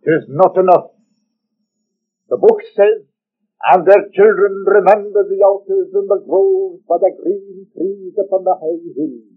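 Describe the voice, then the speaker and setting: Husky, stern zealot, old radio. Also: male voice, sits high, fairly even intonation, unhurried. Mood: stern, zealous. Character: stern, zealous scripture-quoting workman, hard and unyielding. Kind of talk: 1940s radio drama acting. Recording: muffled, tinny 1940s radio recording.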